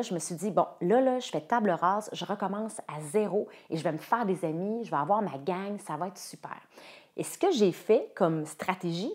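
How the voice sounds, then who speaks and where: A woman's voice: mid-range voice, a little mellow. A woman in her thirties with a Quebec French accent, a coach sharing personal stories and presenting a course to viewers. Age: 30s.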